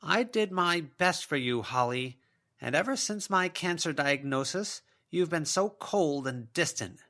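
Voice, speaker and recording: A man's voice: on the high side, animated, measured pace, sharp tone, voice pushed up, exaggerated voice. A man voicing the ghost of an older woman, pleading, aggrieved and full of excuses, in an audiobook. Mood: aggrieved, self-justifying.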